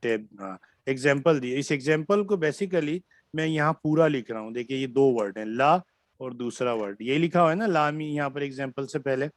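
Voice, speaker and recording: A man's voice: medium pitch, normal speed, no room sound. A man with a Pakistani accent teaching a French class online, explaining patiently and switching between Hindi-Urdu and English with many fillers.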